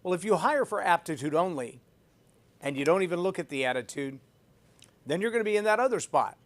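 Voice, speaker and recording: Male host, leadership monologue, emphatic, slightly higher voice, repeats key lines. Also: lively intonation, brisk. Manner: emphatic, repeats phrases for effect; self-described loud voice. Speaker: podcast host. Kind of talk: leadership talk with personal story.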